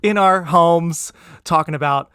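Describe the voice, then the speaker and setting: Higher-pitched American man, casual and chatty. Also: measured pace, bright, forceful tone, clear, resonant tone, dry recording, studio quality. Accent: American, slight New Jersey. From Southern New Jersey, United States. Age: 30s. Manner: chatty, enthusiastic, peppers talk with "like". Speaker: podcast host. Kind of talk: casual movie podcast chat.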